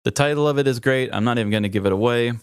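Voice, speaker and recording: A man's voice: medium pitch, racing, clean tone, no room sound, studio quality. A man with an American accent co-hosts a podcast, bantering casually and telling stories. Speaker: podcast co-host.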